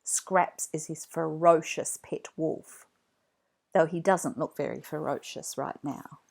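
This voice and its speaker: A woman narrating a children's read-along story from a script, in an expressive storytelling manner. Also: sits low, slow, gravelly.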